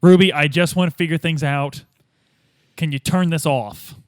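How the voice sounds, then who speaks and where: Man playing a high-voiced, earnest amnesiac detective. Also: steady tone, normal speed, clean tone, no echo, studio quality, lightly acted. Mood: earnest questioning. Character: earnest amnesiac male detective, pleading and persistent.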